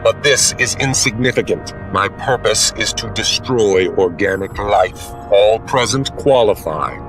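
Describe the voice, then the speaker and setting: A man voicing an emotionless, menacing killer robot in an audio drama, speaking in slow, formal pronouncements. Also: fairly low pitch, music in the background, natural voice. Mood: cold menace.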